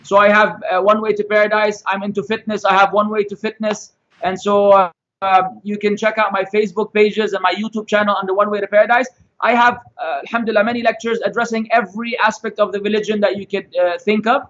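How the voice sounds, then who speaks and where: A man's voice: sits high, flat delivery, fast, fairly bright tone, lo-fi sound. A cheerful, upbeat man from Lebanon in his thirties, a guest introducing himself on a live-stream chat over a video call. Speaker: guest lecturer. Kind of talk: casual live-stream interview.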